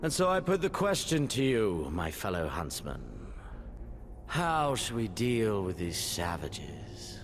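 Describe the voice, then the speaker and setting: Deep, haughty, menacing imperial prince. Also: male voice, very animated, a little hiss or hum. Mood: predatory relish. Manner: haughty, languid, theatrical pauses, menacing. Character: cruel imperial crown prince commander, bored predatory huntsman. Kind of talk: dramatic video game cutscene acting.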